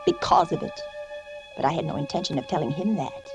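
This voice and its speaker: A woman's voice: low voice, animated, brisk, over music. An actress playing an anxious, secretive young wife losing her mind in a suspense radio drama, with a dramatic delivery that drops toward whispers. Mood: slyly secretive. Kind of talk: vintage suspense radio drama acting, first-person narration.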